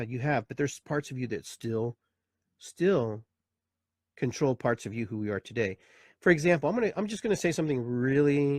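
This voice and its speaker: A man's voice: mid-range voice, darkish tone, no echo, studio quality. Reflective man in his fifties, rambling. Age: 50s. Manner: rambling, reflective, with many fillers. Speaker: host and therapist. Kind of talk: casual live talk show monologue.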